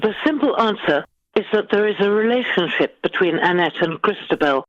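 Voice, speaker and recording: A middle-aged British woman, an author giving measured, formally phrased answers in a literary interview. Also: medium pitch, lively intonation, no room sound, lo-fi sound. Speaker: guest author.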